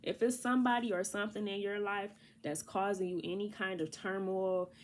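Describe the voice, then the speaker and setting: A woman's voice: mid-range voice, fairly even intonation, fairly quick. A woman giving a casual tarot reading. She sounds congested from allergies and talks to the viewer in a chatty, slangy way. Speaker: tarot reader.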